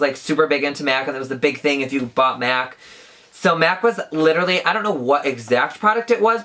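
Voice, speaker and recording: A woman's voice: low voice, fairly bright tone. A woman in her twenties chatting casually in a Q&A vlog, saying "like" often. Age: 20s. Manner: chatty, says "like" a lot. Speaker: beauty YouTuber.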